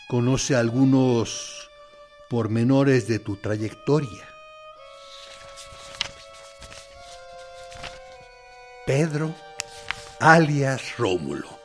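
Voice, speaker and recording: An actor in a radio play voicing a military interrogator who plays good cop, deliberate, condescending and mock-friendly, with menace in his theatrical pauses. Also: medium pitch. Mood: veiled threat. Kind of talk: dramatic radio play monologue.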